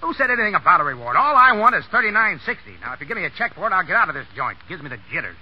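A man's voice: sits high, very animated, rapid-fire, slight background noise. An actor playing a brash, proud young man with a curt, slangy, sarcastic delivery in 1930s American radio drama style. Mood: sarcastic, indignant. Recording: dull, tinny 1939 radio broadcast.

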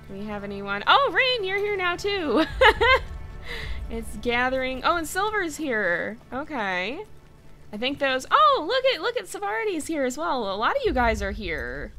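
A woman's voice: sits high, sing-song, measured pace, pushed and bright, soft background music. A woman streaming a game, chatting casually with her viewers and her game, laughing often, with a mixed American accent that slips toward British. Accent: mixed American, slightly British-tinged. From California. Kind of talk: casual game livestream chat.

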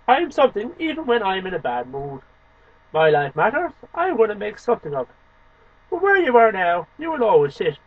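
A man with a high, rough-edged voice recites poems in short, emphatic phrases. His intonation swings widely from line to line. The sound is dull and lo-fi, like a webcam in a small room. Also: no room sound. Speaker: amateur poetry reader and video host. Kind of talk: poetry recitation to camera. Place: small home room lined with shelves, indoors. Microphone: webcam microphone a short distance away.

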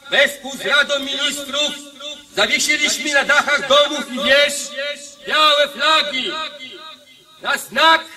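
An actor playing a town crier in a radio drama, reading a proclamation in a loud, formal, declamatory manner. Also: male voice, very high voice, full character voice.

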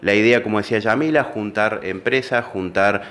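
Low-voiced man, official tone. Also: moderate pace. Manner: steady, official, with fillers. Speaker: employment office coordinator. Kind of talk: news interview. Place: indoor office room. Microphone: handheld reporter microphone.

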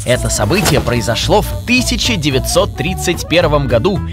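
A man reading scripted educational narration for a children's science cartoon, explaining clearly to young viewers. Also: a little high, sing-song, moderate pace, music in the background.

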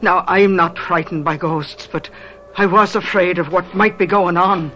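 An actress playing a plainspoken, protective housekeeper in a radio drama, earnest and firm. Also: female voice, lowish voice, steady tone, measured pace, pushed and bright, faint music behind. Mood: grave, recounting. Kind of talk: radio drama acting and narration.

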